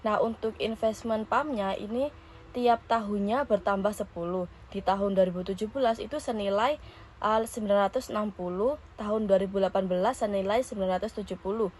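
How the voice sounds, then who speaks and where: A young woman presents like a student explaining coursework. Her voice sits at a middling pitch and she reads things out in measured steps. There is noticeable noise in the recording. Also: darkish tone. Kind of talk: explanatory presentation, partly read from notes. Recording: clear background room noise.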